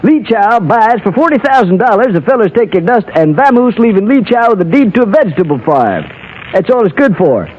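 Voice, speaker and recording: A gossipy frontier barber with a high voice that leaps up and down. He has a rustic Western American drawl and a folksy, colloquial way of spinning a tale. It sounds like a muffled old radio Western. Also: sing-song, brisk. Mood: gleeful gossip. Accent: rustic American West. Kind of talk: old-time Western radio drama acting.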